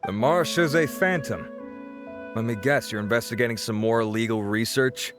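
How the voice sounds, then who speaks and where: A man's voice: mid-range voice, moderate pace, lightly acted. An actor playing a dry, wry and frank male researcher in a video game. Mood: wry, dismissive. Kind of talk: scripted video game dialogue. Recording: clear background music.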